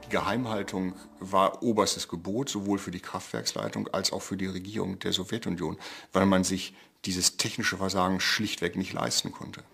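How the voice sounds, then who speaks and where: A man giving measured, matter-of-fact expert commentary in an interview. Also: sits low, steady tone, gravelly, a bit bright, slightly rough, soft background music.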